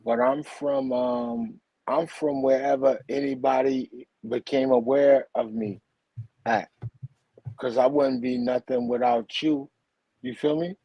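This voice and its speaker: Brooklyn-raised man in his forties, slow husky gravelly voice, dry close sound, rambling slangy guest. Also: mid-range voice, fairly even intonation, no room sound, muffled recording. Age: 40s. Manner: rambling, slangy, repeats "you heard?" and "for real". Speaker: guest, teacher and author. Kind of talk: casual spiritual conversation.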